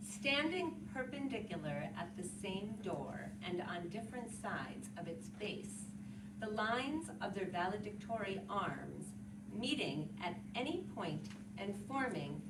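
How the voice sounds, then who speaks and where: A woman's voice: mid-range voice, very animated, measured pace. A woman giving a dramatic reading of literary prose. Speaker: literary reader. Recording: roomy, distant mic, loud background noise.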